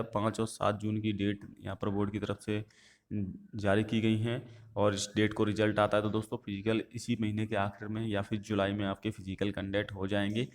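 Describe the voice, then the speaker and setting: A man giving viewers an informational update as the host of an online video channel. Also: lowish voice. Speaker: YouTube host giving exam news updates.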